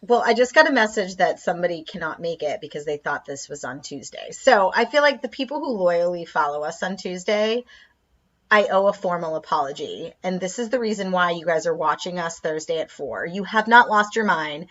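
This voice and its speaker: A woman comedian co-hosting a casual live chat, animated and talkative, often saying "like". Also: fairly low pitch, fairly quick, highs cut off. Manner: chatty, animated, lots of "like". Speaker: comedian co-host.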